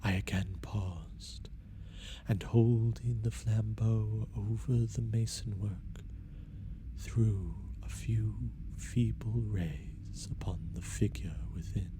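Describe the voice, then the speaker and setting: A man giving a theatrical, deliberate and intense first-person reading of a gothic story as its narrator. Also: fairly low pitch, fairly even intonation, noisy background.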